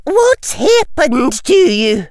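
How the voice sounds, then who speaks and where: A man voicing a stern, authoritative senior witch who runs a school in a fantasy audiobook, speaking with deliberate urgency. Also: very high voice, animated, clean tone, no room sound, studio quality, voice pushed up, exaggerated voice. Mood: alarmed. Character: stern, commanding senior witch, a deputy headmistress.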